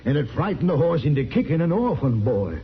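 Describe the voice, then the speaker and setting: A man's voice: on the high side, sing-song, fairly quick. Old male frontier peddler, courtly and theatrical, swooping delivery, dull vintage radio sound. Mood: earnest, solemn. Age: older. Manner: florid, obsequious, theatrical. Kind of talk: old-time radio western acting. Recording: muffled vintage radio recording, crowd noise.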